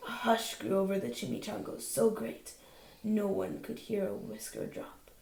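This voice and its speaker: A woman reading a children's bedtime story aloud in an expressive narrating style. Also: mid-range voice, moderate pace, vocal fry. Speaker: storybook reader. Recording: roomy, distant microphone.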